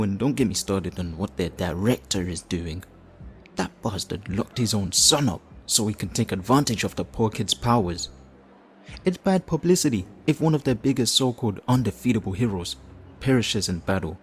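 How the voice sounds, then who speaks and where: A man playing a flirtatious, theatrical supervillain leader in an audio roleplay, smug and righteous, with teasing, drawn-out phrasing. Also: medium pitch, darkish tone, slightly rough. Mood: indignant, impassioned. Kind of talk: audio roleplay addressed to the listener.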